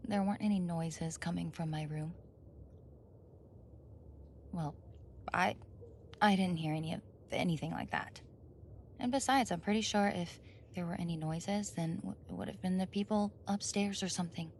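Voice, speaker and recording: A woman's voice: lowish voice, moderate pace, long pauses, darkish tone, slight background noise, close to an everyday voice. A woman acting a flustered, defensive young roommate caught off guard in an ASMR roleplay, stammering, indignant and evasive. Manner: stammering, indignant, evasive, sighs and nervous laughs.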